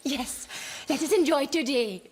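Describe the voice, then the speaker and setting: A woman's voice: sits high, a little slow, slight background noise. An actress playing a playful young wife in colonial Calcutta in a radio drama, girlish, wheedling and eager to please. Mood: bright, agreeable.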